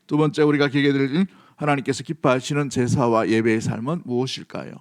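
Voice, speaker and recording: A man's voice: medium pitch, fairly even intonation, fast talker. A man from South Korea preaching a sermon as a pastor, emphatic, repeating key phrases and putting questions to his congregation.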